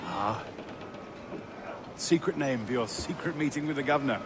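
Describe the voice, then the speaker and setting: An actor playing a mild, polite gentleman merchant who speaks hesitantly, in a dramatic video game. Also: medium pitch, animated, normal speed, noisy background, close to an everyday voice. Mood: wistful, hesitant. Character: mild, timid gentleman merchant, dutiful husband and father.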